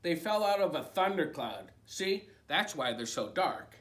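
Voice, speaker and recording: A plucky little chicken girl, bright and showy, as a man puts her on in a storybook reading. The voice sits a little higher than a typical man's and bubbles with excitement. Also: measured pace. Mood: excited.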